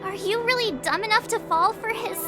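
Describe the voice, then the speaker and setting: A woman's voice: extremely high pitch, brisk. A woman voicing a perky, indignant teenage girl adventurer in a video game, chatty and exclamatory. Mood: indignant, pleading. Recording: game music behind the voice. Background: orchestral violin music.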